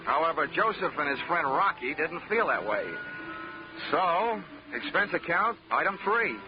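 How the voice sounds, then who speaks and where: An American actor playing a hard-boiled, sardonic investigator in a 1940s radio drama, dry and wisecracking. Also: male voice, a little high, lively intonation, a little slow, music in the background. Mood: wry. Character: wry, hard-boiled male private investigator, sardonic and unflappable. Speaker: lead actor and narrator. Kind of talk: 1940s radio detective narration and acting. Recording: muffled 1940s radio recording.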